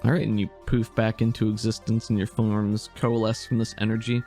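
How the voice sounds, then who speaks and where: A man's voice: lowish voice, level tone, fairly quick, dark tone, soft background music. A man narrating as a laid-back, easygoing game master.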